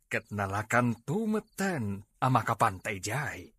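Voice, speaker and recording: A man playing an eager, opinionated middle-aged father in an audio drama, with a raspy voice. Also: on the low side, big pitch swings, unhurried, bright, forceful tone, no echo, heavily acted. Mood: eager, curious. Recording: faint music under the voice.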